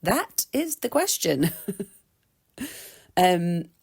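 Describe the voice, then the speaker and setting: A woman in her thirties with an English accent talks casually and at length, restarting her sentences as she goes. Her voice sits fairly low, creaks a little at the ends of phrases, and swings widely in pitch. She sounds slightly stuffed up from a cold. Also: big pitch swings, very slow, a little mellow. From England. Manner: chatty, rambling, with many restarts and laughs. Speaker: podcast host. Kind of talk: casual solo podcast monologue.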